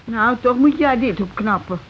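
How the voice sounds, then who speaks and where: An actress in a Dutch radio play playing a middle-aged, put-upon housewife, plaintive and passive yet stubbornly answering back. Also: female voice, a little high, lively intonation, normal speed, husky. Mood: defensive. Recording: muffled, hissy old radio recording.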